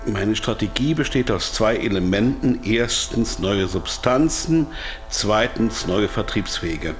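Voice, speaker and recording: A captive pharmaceutical executive in an audio drama. His voice sits fairly low, and he is formal and hesitant, anxiously justifying himself. Some music is faintly audible behind him. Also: animated, takes it slowly. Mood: self-justifying. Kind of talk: German audio drama acting.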